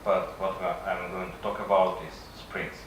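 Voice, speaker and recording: A man with a Russian accent, a native Russian speaker, giving a halting conference presentation with frequent pauses. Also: low voice, little pitch movement, fairly bright tone. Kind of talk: conference presentation, semi-improvised. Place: conference session room. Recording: echoey room from far off, faint noise.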